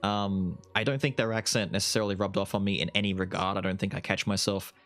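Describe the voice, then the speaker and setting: A man's voice: deep voice, steady tone, quick pace, fairly bright tone. A young Australian man answering viewer questions in a casual, rambling, self-deprecating way. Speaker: YouTube gaming creator answering viewer questions. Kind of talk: casual Q&A chat. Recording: voice over faint background music.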